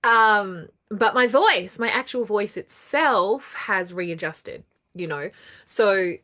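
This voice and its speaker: A woman's voice: medium pitch, slow pace, sharp tone. A woman hosting her own numerology channel, talking casually and earnestly, repeating words for emphasis, with a lingering cough after throat trouble. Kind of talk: casual solo talk to camera.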